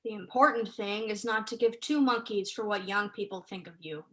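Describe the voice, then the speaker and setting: A student actress as a sharp, sceptical woman scholar. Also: mid-range voice, normal speed, lightly acted, low-quality recording. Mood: dry, dismissive. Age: young adult. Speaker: student actor. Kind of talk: staged play reading.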